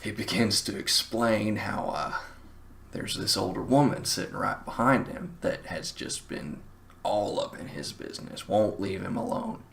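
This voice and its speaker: A man's voice: fairly low pitch, a little slow, vocal fry, fairly bright tone. A man with a Southern US accent telling a casual comic anecdote in a wry, self-mocking, wordy way. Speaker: storyteller, show host.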